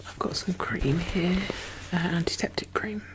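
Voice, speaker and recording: A woman doing a soft-spoken, whispered ASMR caregiver roleplay in a gentle, murmured, reassuring way. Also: fairly low pitch, fairly even intonation, normal speed.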